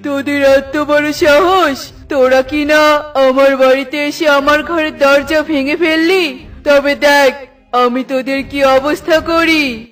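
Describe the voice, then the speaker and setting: A boastful male cartoon sorcerer, high in pitch and very drawn out. He taunts with villainous arrogance. The voice sits back from the mic over music. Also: steady tone, takes it slowly, fairly bright tone, distant mic. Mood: menacing, gloating. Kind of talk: children's cartoon villain acting.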